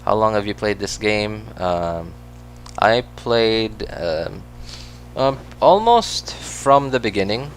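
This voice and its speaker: A man's voice: fairly low pitch, animated, slow pace, slight background noise. A man with a Filipino accent, whose first language is Cebuano, chatting casually while he plays a game, rambling with lots of fillers and self-corrections. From Philippines. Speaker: gaming streamer.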